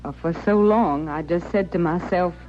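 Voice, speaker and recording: A woman's voice: lowish voice, moderate pace, darkish tone, soft background music, lightly acted. Lady playing a stubborn prairie widow, folksy talk, old western radio show, muffled dull recording. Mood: remorseful, earnest. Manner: folksy, rustic phrasing. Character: proud, wounded frontier widow, plain-spoken and stubborn.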